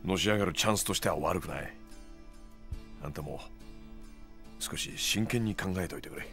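An actor playing a laid-back, streetwise moneylender in a Japanese video game, talking in a casual, nonchalant way. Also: male voice, low voice, moderate pace, fairly bright tone, soft background music. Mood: casual, persuasive. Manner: casual, nonchalant, rough-edged.

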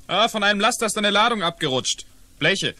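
A man's voice: sits high, animated, brisk, bright, forceful tone, a little hiss or hum, full character voice. An actor playing an agitated male motorist in a 1980s German radio play.

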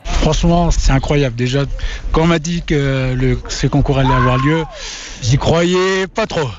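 A man's voice: on the high side, measured pace. Enthusiastic man in a noisy, dull field recording. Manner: enthusiastic, colloquial. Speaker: athlete being interviewed. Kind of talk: casual spontaneous interview.

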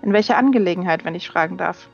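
A woman voicing an elderly, wiry canoness with a warm, courteous temper in a fantasy audiobook. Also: fairly low pitch, quick pace, vocal fry, breathy edge. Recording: dull lo-fi sound, music behind.

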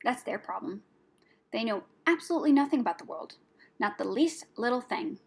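A woman's voice: sits high, lively intonation, normal speed, a bit bright, pitched above the performer's own voice. A woman voicing a cross, indignant female rat in a storybook, muttering, hissing and exclaiming. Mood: peevish muttering. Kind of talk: audiobook character voice.

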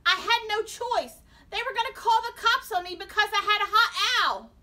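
A woman's voice: very high voice, moderate pace, bright, forceful tone, voice pushed up, heavily acted. A woman voicing a frantic, guilty boy in a storybook reading, pleading and whining excuses. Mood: defensive, flustered.

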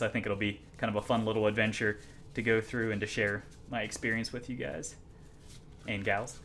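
A man with a lowish voice talks casually through an unboxing at home. He is chatty and self-deprecating, often pausing with ums and restarting his sentences. A faint hiss sits under the recording. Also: fairly bright tone. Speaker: watch reviewer. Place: home room, indoors.

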